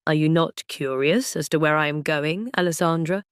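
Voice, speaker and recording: A self-assured nobleman played by a woman narrator with a lowered pitch and a dry, close sound. He speaks formally, with playful, teasing authority, as the duke in a romance audio drama. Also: moderate pace, smooth, dry recording, natural voice.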